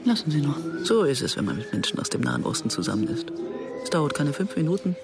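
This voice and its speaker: A woman's voice: low voice, big pitch swings, fast talker, creaky, over music, exaggerated voice. An actress playing a guarded, reflective woman in her early fifties who narrates and converses soberly in a German radio drama. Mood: guarded, brooding. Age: middle-aged.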